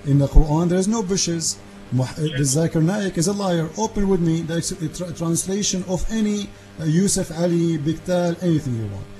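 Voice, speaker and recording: A man whose first language is Arabic hosts a call-in debate, insistent and argumentative, speaking broken English and cutting in with quick repeats. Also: on the high side, over music, low-quality recording. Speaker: debate show host.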